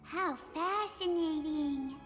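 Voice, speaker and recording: A woman voicing a small, excitable fairy in a video game, talking in a bubbly, childlike way. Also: high voice, slow. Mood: delighted. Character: tiny excitable fairy of the maze. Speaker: game character. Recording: reverberant game audio with loud music. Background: game music.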